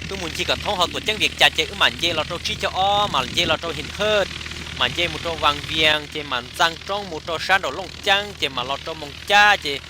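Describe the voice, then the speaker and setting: A man's voice: on the high side, a little fast, natural voice. A man voicing a talkative male character in a comic cartoon. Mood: explaining. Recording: clear background noise.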